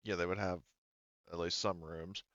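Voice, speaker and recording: A man's voice: low voice, steady tone, normal speed, a bit bright, no room sound. A man acting as game master, chatting casually at a tabletop roleplaying game, on a dull lo-fi recording.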